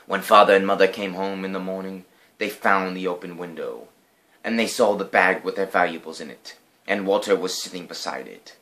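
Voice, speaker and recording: A man with a fairly low voice performs a dramatic storybook reading. He plays the storyteller with exaggerated, mock-solemn weight, as the host of a home reading show. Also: moderate pace, pushed and bright.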